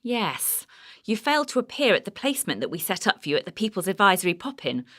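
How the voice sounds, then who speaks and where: A woman's voice: mid-range voice, very animated, quick pace, a bit bright. A woman playing a brusque, officious benefits adviser with a stern, condescending manner in a radio play.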